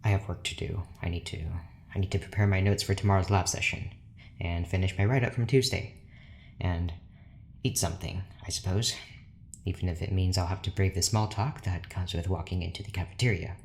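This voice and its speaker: An actor playing an obsessive, lonely, overworked scientist in an audio drama, formal and brooding. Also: male voice, low-pitched, steady tone, fairly quick, gravelly, a little hiss or hum. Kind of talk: audio drama monologue, diary-like.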